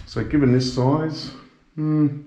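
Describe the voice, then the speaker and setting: A man's voice: steady tone, takes it slowly. A man, vlogging casually as he explores and drives, thinking aloud and swearing at other drivers. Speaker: travel vlogger. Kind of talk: casual walking and driving vlog. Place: abandoned building, then inside a car. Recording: dull lo-fi camera audio with loud engine rumble.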